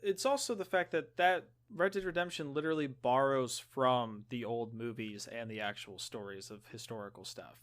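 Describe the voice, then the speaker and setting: A man's voice: mid-range voice, normal speed, hardly any pauses, a bit bright. A man co-hosting a podcast, chatting casually about games in an informal, rambling way. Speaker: podcast co-host.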